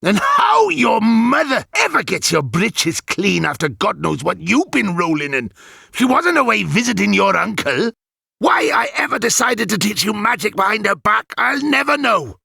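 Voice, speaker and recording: A man's voice: a little high, expressive, normal speed, gravelly, bright, forceful tone, breathy edge, no room sound, studio quality, pitched above the performer's own voice, exaggerated voice. A man voicing a fussy, exasperated old male wizard who rants pompously.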